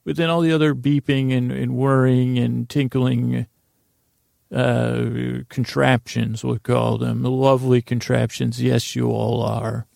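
A man hosts a podcast, rambling a meandering bedtime tale with a goofy, self-deprecating ease. His voice is deeply gravelly and creaky, with a narrow, even pitch. He is close on a dry mic with no room sound. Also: measured pace, dry recording. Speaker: podcast host. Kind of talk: rambling bedtime story monologue.

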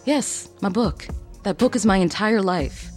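A woman's voice: mid-range voice, expressive, unhurried, a little mellow, faint music behind, lightly acted. A woman playing an indignant, stressed married writer with a defiant, sarcastic manner in an audio drama. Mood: tense, defensive.

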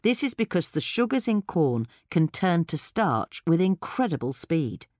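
A woman's voice: fairly low pitch, sing-song, unhurried, darkish tone, dry recording, clean studio sound. A woman presenting a gardening guide as scripted instructional narration.

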